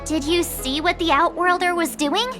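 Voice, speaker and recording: A woman voicing a spirited, assertive young girl adventurer in a video game, sassy and expressive. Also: very high-pitched, moderate pace, music in the background. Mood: businesslike. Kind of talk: video game character dialogue.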